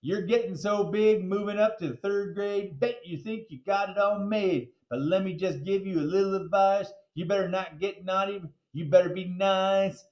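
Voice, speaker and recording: A man's voice: high voice, fairly even intonation, normal speed, hardly any pauses, darkish tone, muffled recording, lightly acted. A man voicing a flashy, boastful rapper showman from a children's storybook, upbeat and playfully preachy, rhyming in slang. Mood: playful rapping. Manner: rhyming, rapping delivery with slang. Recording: roomy, echoey sound.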